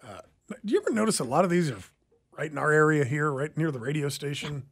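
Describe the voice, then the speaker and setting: A man's voice: fairly high pitch, fast. A man hosting a radio show with casual, joking banter and a wry manner. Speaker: radio host. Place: radio studio.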